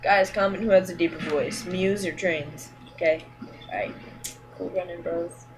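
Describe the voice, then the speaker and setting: A woman's voice: fairly low pitch, steady tone, moderate pace, music in the background, poor audio. A young woman chatting crudely and jokily with a friend while playing a game. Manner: crude, joking, rambly. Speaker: gamer. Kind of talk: casual gameplay chat.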